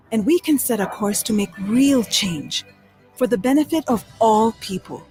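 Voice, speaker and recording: Woman narrating over soft music. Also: medium pitch. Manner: measured and earnest. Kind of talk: scripted documentary narration. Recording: music bed under narration.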